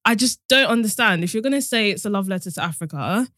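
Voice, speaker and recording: Woman from Uganda, emphatic panel guest, mid pitch, dry studio voice. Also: expressive, fast talker, a bit bright, dry recording. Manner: emphatic, earnest arguing. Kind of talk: casual panel chat.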